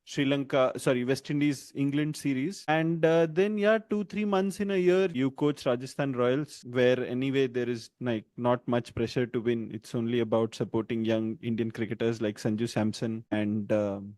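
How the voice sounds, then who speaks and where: A man's voice: mid-range voice, steady tone, moderate pace, few pauses, a little mellow, no echo. A man co-hosting a podcast in casual chat, talking loosely and laughing often between points. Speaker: podcast co-host.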